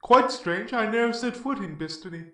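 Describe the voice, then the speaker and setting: A man's voice: high-pitched, lively intonation, moderate pace, pushed and bright, recorded from a distance. A performer playing a bold, confident travelling hero of Greek myth in declaimed verse drama, as a man. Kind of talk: classical verse drama reading. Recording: echoey room, off the microphone.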